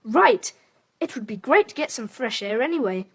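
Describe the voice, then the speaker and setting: A woman's voice: on the high side, expressive, normal speed, fairly bright tone, husky, no room sound, heavily acted. A woman playing an eager, curious schoolboy adventurer in a children's audio drama. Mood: alert, adventurous. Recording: slightly noisy tape transfer.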